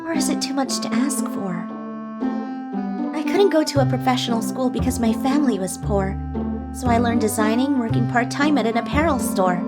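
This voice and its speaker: A woman voicing a high-pitched, timid young part-timer. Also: normal speed, over loud music, lightly acted. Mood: wistful. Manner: meek, hesitant, stammering when flustered. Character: timid, hardworking young part-timer who dreams of designing. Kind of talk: scripted first-person manga dub narration.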